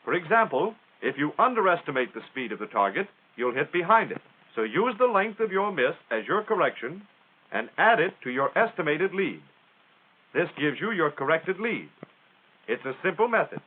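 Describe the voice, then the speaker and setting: American male narrator for a 1940s military instructional film; plain-spoken and folksy, highly animated intonation, thin vintage soundtrack sound. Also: normal speed. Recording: tinny, band-limited 1940s film soundtrack.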